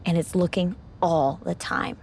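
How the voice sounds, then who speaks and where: A woman playing a seasoned wrestling champion in a video game, speaking gravely and cautioning like a keeper of dangerous secrets. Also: fairly low pitch, fairly even intonation, a little slow, a little mellow, a little hiss or hum. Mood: grave warning. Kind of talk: cinematic game dialogue.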